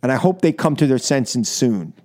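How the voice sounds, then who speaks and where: A man's voice: medium pitch, lively intonation, normal speed, a little mellow. An American man hosting talk radio, delivering an impassioned political monologue in an emphatic, exasperated way, repeating phrases for stress. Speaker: talk radio show host. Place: radio studio.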